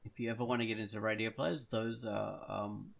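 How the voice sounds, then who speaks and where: A man hosting a casual podcast, talking in a halting way as he thinks aloud. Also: lowish voice, fairly even intonation, gravelly. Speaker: podcast host.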